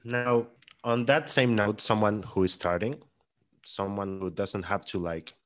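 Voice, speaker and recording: A man's voice: lowish voice, fairly even intonation, unhurried. A man hosting an interview who asks rambling, circling questions full of fillers. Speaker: podcast host.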